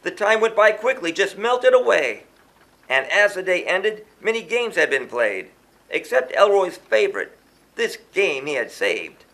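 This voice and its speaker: A man narrates a children's story in verse. His voice sits high and swings widely in pitch. He leans into the rhythm theatrically, like a storybook reader performing for young listeners. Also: very animated, unhurried, creaky, a bit bright. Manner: theatrical, sing-song rhythmic delivery. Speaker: children's book author reading aloud.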